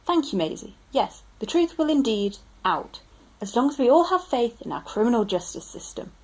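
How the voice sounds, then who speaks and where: A formal, procedure-minded male sergeant, acted by a woman who apologises without budging. His voice sits a little high and is plain and measured. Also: sing-song, rough recording. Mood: officious. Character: polite, bureaucratic male police sergeant.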